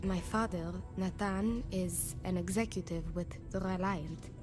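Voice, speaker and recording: A woman's voice: lowish voice, a little slow, over music, natural voice. An actress playing a sick, anxious young runaway woman, wary and wronged, in a video game. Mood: confiding, fearful. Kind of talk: video game dialogue acting.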